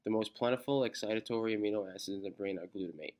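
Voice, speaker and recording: A congested man reading a study outline aloud, halting and restarting on technical words. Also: fairly low pitch, a little mellow. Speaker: student presenter.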